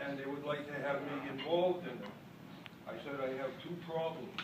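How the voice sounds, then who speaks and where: A man's voice: medium pitch, steady tone, moderate pace, dull audio. An older man from Boston with a Maine accent, telling a folksy, self-deprecating story in a speech at a club convention. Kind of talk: after-dinner speech, storytelling. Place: large hall with an audience. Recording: echoey hall, distant mic, loud background noise.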